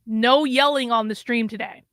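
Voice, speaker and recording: Chatty woman host, slightly hoarse. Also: a little high, fairly even intonation, moderate pace, fairly bright tone, no echo, studio quality. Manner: chatty, rambling, wry asides to the audience. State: losing her voice. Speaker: livestream host. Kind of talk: casual livestream chat.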